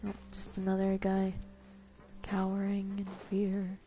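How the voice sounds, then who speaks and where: A woman's voice: medium pitch, completely flat delivery, measured pace. Casting: female game streamer, mid-range voice, informal rambling chat, slightly dull sound with faint music. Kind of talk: casual live playthrough commentary.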